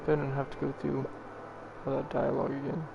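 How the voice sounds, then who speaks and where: A young man giving casual gameplay commentary in a halting, mumbly, self-deprecating way. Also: mid-range voice, monotone, creaky, highs cut off. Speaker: game streamer. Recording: game music behind voice.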